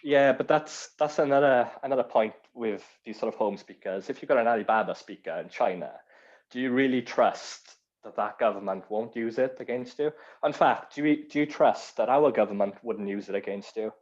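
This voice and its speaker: A man's voice: mid-range voice, moderate pace, dull audio. A man with a strong Welsh accent, a guest speaker chatting casually on a video call and explaining with examples. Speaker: guest speaker on cyber security. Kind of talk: casual online panel chat.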